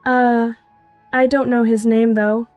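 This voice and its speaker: A woman with a fairly high voice chats casually in a gaming call. The sound is dry, with music underneath. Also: flat delivery, slow, a little mellow, no echo. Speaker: friend in a gaming voice chat. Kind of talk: casual chat.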